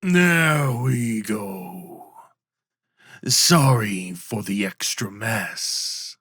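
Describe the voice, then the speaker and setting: Man voicing a slow, teasing infernal wolf. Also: lowish voice, animated, bright, forceful tone, slightly rough, dry recording, studio quality, heavily acted. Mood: gentle. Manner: slow, deliberate, formal speech. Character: huge male infernal wolf, stoic, patient, teasing. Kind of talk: ASMR roleplay character acting.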